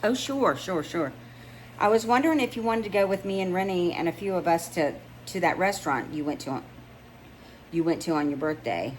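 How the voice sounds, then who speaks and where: A woman's voice: on the low side, expressive, fairly quick, natural voice, rough recording. A woman voicing a friendly, lovesick male coworker in a romance audiobook, eager and a little hesitant. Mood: sheepish, eager. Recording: noticeable background noise.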